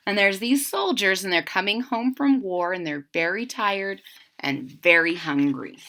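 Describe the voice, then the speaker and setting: A woman reading a picture book aloud to children, with an expressive voice and questions asked straight to her young listeners. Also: mid-range voice, unhurried.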